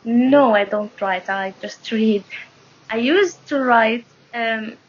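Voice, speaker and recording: A woman's voice: fairly high pitch, slow, slightly rough. A young woman from Morocco with a Moroccan accent, a native Arabic speaker, chatting as a guest on a video call and laughing often between thoughts. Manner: laughs often, speaks with many fillers and restarts. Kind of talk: casual video call chat. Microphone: phone camera on video call. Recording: muffled lo-fi video call audio.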